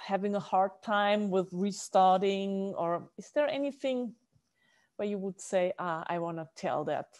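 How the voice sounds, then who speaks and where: A woman hosting an interview, asking long winding questions and giving soft backchannel murmurs. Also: mid-range voice, measured pace. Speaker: host.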